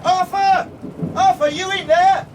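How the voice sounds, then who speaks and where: An easygoing young lodger. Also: male voice, extremely high pitch, steady tone, a little slow, far from the mic, a little hiss or hum. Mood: calling out. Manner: casual, deferential, colloquial. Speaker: Harry. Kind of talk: radio drama acting.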